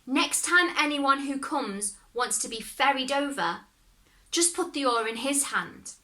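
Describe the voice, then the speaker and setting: A woman's voice: sits high, lively intonation, a little slow, sharp tone, pitched above the performer's own voice, exaggerated voice. A woman voicing a cheerful young fairy-tale hero in a storybook reading, earnest and sure.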